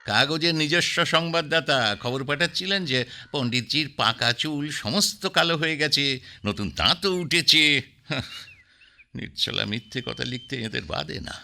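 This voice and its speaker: A man's voice: on the high side, animated, a little slow, gravelly, sharp tone, exaggerated voice. An actor playing a curious man who eagerly presses his friend with questions in a radio play.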